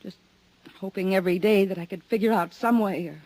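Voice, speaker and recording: An actress playing a weary, guarded woman stranded abroad in a 1950s radio drama, speaking softly and pleadingly. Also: mid-range voice, a little fast, dry recording, close to an everyday voice, low-quality recording. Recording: old radio broadcast recording.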